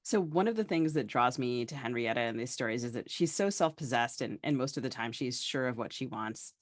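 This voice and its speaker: A man's voice: medium pitch, animated, a little fast, no echo. Male interviewer, close dry sound. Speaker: podcast co-host, interviewer. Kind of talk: literary interview, conversational questions.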